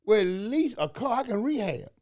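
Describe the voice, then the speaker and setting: A man's voice: high voice, sing-song, normal speed, a little mellow, no room sound. Folksy Southern man, dry close sound. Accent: Southern US, Alabama. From Alabama, USA. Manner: folksy, preachy, full of rhetorical questions and slang. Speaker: podcast host. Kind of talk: motivational podcast talk.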